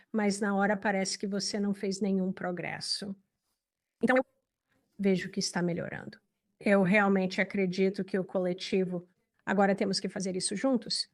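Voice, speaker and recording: A woman with a Brazilian accent giving reflective, earnest answers as a spiritual teacher in a dubbed interview. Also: mid-range voice.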